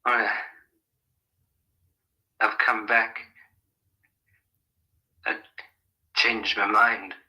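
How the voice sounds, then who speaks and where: An actor playing a weary but resolute lover in an old radio drama, halting and earnest. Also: male voice, on the low side, little pitch movement, bright, forceful tone, full character voice, rough recording. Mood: exhausted, resolute. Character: weary, resolute male lover back from storm.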